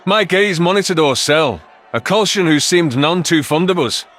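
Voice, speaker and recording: A man's voice: fairly high pitch, lively intonation, normal speed, a bit bright. A man reading dramatic first-person science fiction narration as an audiobook narrator.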